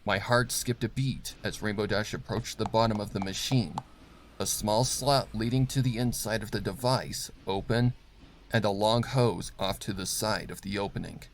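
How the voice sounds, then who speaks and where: A man's voice: lowish voice, normal speed, slight background noise. A man reading fan fiction as a scripted, dramatic narration with a grave, suspenseful delivery.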